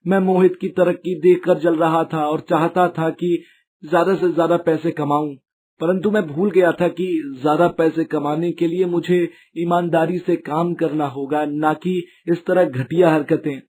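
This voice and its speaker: A man's voice: a little high, steady tone, a little slow, darkish tone. A man playing a greedy, jealous street vendor who pleads remorsefully in a moral story. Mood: remorseful, pleading. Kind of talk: dramatised moral story acting.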